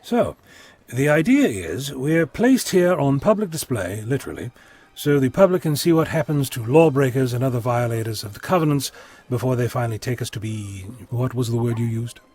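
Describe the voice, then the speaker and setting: Man playing a husky, whimsical condemned adventurer. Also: medium pitch, animated, normal speed, a little mellow. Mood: wry, curious. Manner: wry, whimsical, musing. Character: wry, unflappable male adventurer joking as a condemned prisoner. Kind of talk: audio drama acting.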